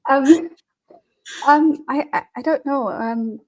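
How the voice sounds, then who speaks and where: A woman's voice: high-pitched, slow, darkish tone, low-quality recording. A woman from Tanzania, a guest on an online talk, telling stories in a chatty way with many fillers over a video call. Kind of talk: casual conversation.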